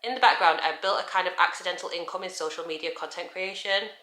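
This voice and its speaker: A woman, chatting candidly in a sit-down vlog. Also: quick pace. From England. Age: 30s. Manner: chatty and candid, lively shifts in pitch. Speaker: vlogger. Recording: echoey room.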